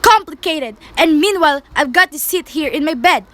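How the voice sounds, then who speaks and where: A woman's voice: high voice, animated, moderate pace, fairly bright tone. A woman playing a nervous, demanding bedridden wife in an amateur radio play, fretful and melodramatic. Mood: irritated. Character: nervous, demanding bedridden invalid wife, shrill and self-pitying.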